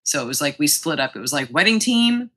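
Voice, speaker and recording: A woman's voice: sits low, very animated, brisk, pushed and bright, husky. A woman speaking casually and effusively as an interview guest, with plenty of enthusiasm for her work. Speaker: guest, museum publicity director.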